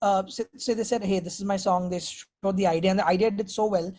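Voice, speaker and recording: A man's voice: high-pitched, fairly even intonation, fairly quick, a little mellow. A man giving conversational answers as a musician being interviewed. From India.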